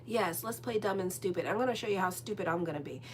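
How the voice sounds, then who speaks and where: A woman's voice: mid-range voice, quick pace, a little hiss or hum. A woman doing a casual tarot horoscope reading as an astrologer, rambling and chatty with lots of fillers and a direct manner. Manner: frank and direct with listeners.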